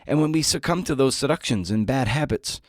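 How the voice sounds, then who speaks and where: A man's voice: medium pitch, expressive, brisk, dry recording, studio quality. A man in his forties hosting a podcast solo, thinking aloud in a casual, conversational, self-questioning way. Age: 40s. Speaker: podcast host. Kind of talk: reflective solo podcast monologue.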